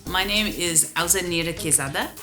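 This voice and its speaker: A woman's voice: on the low side, moderate pace, music in the background. A woman hosting a show with scripted banter and introductions. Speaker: host.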